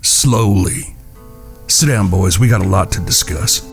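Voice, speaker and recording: An actor playing a grieving, steady frontier outlaw leader in a western audio drama. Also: male voice, low-pitched, unhurried, gravelly, over music. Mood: weary, firm.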